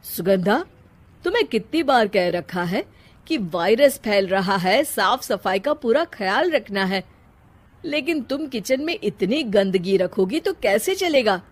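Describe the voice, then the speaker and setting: A woman's voice: medium pitch, very animated, a little slow. A woman voicing an exasperated, long-suffering husband in a cartoon story, complaining emphatically. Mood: scolding.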